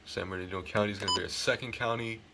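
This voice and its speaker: Low-voiced man, casual unboxing vlog. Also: steady tone, rapid-fire, heavy background noise. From United States. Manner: informal, occasionally swearing. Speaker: hobbyist video host. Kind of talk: casual unboxing and setup demo.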